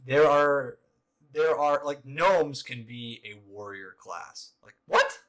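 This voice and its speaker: A man in his late twenties with a mid-range, bright and forceful voice, chatting with a friend over a game. He talks emphatically, working himself up into playful, jokey complaints. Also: medium pitch, animated, slow, rough recording. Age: late 20s. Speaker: co-host of a gaming channel. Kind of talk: casual chat while gaming.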